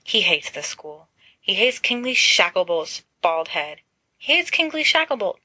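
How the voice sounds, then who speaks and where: Bright-voiced woman reading a story. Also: medium pitch, very animated, unhurried, slightly rough, dry recording. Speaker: volunteer audiobook reader. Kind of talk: expressive narration of fan fiction.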